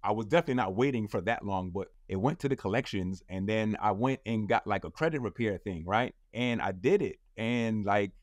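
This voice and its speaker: A man's voice: fairly low pitch, brisk, hardly any pauses, no room sound. A man in his thirties, a guest in a casual interview, telling his story in a loose, rambling way full of fillers. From United States. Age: 30s.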